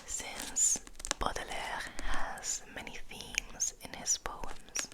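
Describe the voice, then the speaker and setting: A young woman whispering softly and gently in an ASMR style, reading and musing in a hesitant way. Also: slow pace. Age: young adult. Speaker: ASMR host, literature student.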